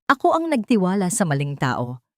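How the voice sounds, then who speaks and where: A woman voicing a frightened, regretful young man speaking softly in an audio story. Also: on the low side, huge pitch swings, normal speed, no room sound, clean studio sound. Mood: remorseful. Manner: quiet, regretful. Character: young adult son, remorseful and frightened.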